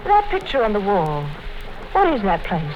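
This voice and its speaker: An actress playing a young, practical, affectionate rebel woman in expressive 1950s radio drama style. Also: medium pitch, normal speed. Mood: tender. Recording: noisy, dull 1950s radio recording.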